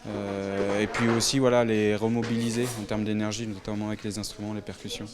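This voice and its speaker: A man's voice: lowish voice, level tone, brisk, music in the background. A man explaining his work in an interview, in a conversational way. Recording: clearly noisy background, clicks.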